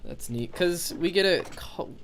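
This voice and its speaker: A man's voice: sits high, animated, a little slow, a bit bright, slight background noise. A man giving casual, sarcastic, nitpicking commentary over video game footage. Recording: game music behind commentary.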